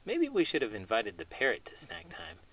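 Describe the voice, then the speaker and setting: A man reading a children's storybook aloud with animated, dramatic emphasis, in the manner of an elementary school teacher. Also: mid-range voice, a little fast, husky, poor audio. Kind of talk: expressive storybook read-aloud with puppet banter.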